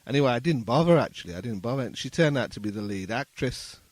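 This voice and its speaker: A man's voice: mid-range voice, animated, fast, dry recording. A man from England, a musician chatting informally as a studio guest and often repeating his phrases. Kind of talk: casual interview chat. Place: radio studio.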